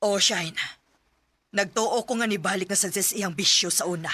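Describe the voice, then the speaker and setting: A woman's voice: mid-range voice, moderate pace, bright, forceful tone, a little hiss or hum, close to an everyday voice. A woman with a Cebuano Filipino accent playing a concerned older grandmother in a radio drama. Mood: dismayed.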